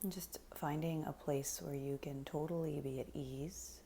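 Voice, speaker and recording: Female meditation guide, soft and breathy with a dark, relaxed tone, soothing and unhurried, faint noise in the background. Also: deep voice. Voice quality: soft, breathy, often near a whisper. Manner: soothing, unhurried, gentle instruction with long pauses.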